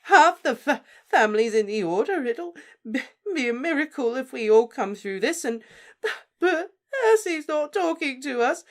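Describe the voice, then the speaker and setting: A woman voicing a distraught middle-aged motherly witch who sobs and stammers in a high, breaking voice. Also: sing-song, moderate pace. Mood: sobbing. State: crying.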